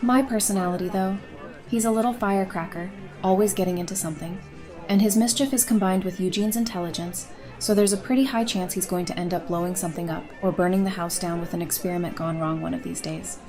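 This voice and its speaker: A woman playing a warm, blunt and teasing young mother in a conversational audio drama. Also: fairly low pitch, fairly even intonation, quick pace, music in the background, natural voice. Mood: affectionate.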